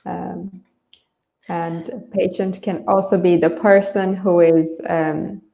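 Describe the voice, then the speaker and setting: A woman's voice: lowish voice, little pitch movement, takes it slowly, vocal fry, mellow. A woman from Bangladesh hosting an online English lesson, explaining things informally and a little hesitantly. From Bangladesh, grew up in Dhaka. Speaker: English teacher, webinar host. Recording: roomy, echoey sound.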